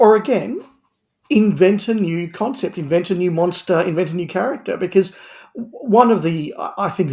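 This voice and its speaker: A man's voice: a little high, gravelly, a little mellow. Emphatic man in panel discussion. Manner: emphatic, repeats words and phrases for emphasis. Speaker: podcast guest. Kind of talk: animated opinionated panel discussion.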